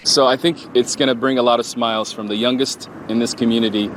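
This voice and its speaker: A man's voice: medium pitch, steady tone, fast. A man, a community director, speaking in an outdoor interview. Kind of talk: interview soundbite. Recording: noisy outdoor interview with traffic.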